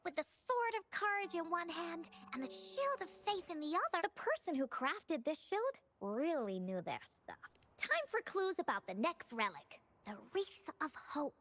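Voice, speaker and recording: A woman voicing a bubbly, excitable little girl adventurer in an anime game, childlike and eager. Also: extremely high pitch, normal speed, hardly any pauses, no room sound, heavily acted. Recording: music under the voice.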